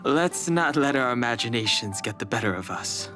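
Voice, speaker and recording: A man voicing a calm, reserved young guardian in a video game, measured, serious and understated. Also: medium pitch, music in the background, close to an everyday voice. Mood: cautioning.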